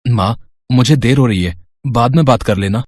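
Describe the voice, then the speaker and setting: A man's voice: mid-range voice, normal speed, a little mellow, lightly acted. A man playing a humble, considerate young auto-rickshaw driver in an acted storybook dialogue. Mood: dutiful, hurried.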